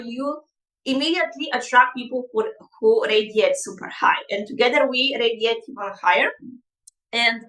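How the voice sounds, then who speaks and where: A woman coach whose first language is Bulgarian, speaking straight to an online audience in an upbeat, motivational way. Her voice sits a little high and sounds bright and forceful, and the room around her echoes. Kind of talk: live online masterclass.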